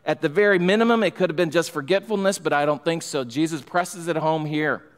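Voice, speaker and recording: A middle-aged male pastor preaches from the pulpit, his voice a bit higher than most men's. He talks emphatically and builds in fervor. The sound carries a long reverb through a large church hall. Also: fairly quick. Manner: emphatic, rising in fervor, gestures while preaching. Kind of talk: expository sermon. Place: church sanctuary, large room indoors. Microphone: pulpit microphone.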